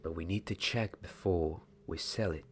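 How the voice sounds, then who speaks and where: A young man doing soft-spoken, half-whispered ASMR roleplay as a gentle wand maker's apprentice, speaking in a hushed, deliberate, halting way close to the microphone. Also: deep voice, slight background noise. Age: young adult. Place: small candlelit indoor set.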